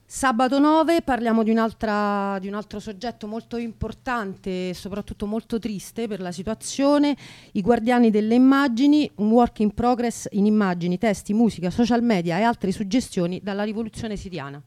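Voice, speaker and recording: A woman's voice: mid-range voice, moderate pace, darkish tone, dry recording. A woman presenter announcing upcoming events on a radio show.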